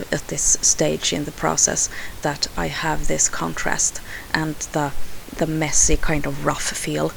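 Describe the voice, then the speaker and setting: Swedish-accented woman, craft voice-over; low, unhurried, halting delivery. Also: vocal fry, a little hiss or hum, poor audio. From Sweden. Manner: hesitant, unhurried, frequent fillers and restarts. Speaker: scrapbooking crafter.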